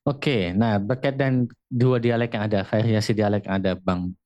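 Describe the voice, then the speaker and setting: A man's voice: on the low side, steady tone, moderate pace, darkish tone, highs cut off. Indonesian man from explaining steadily. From Lampung, Indonesia. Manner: explanatory, frequent filler "gitu" and "ya". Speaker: guest speaker on regional language. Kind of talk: radio talk show conversation.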